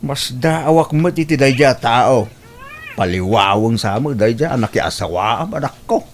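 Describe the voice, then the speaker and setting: A man's voice: medium pitch, animated, moderate pace, faint music behind, close to an everyday voice. A man playing a weary, concerned old father in an audio drama, with an Ilocano accent. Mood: concerned, disapproving.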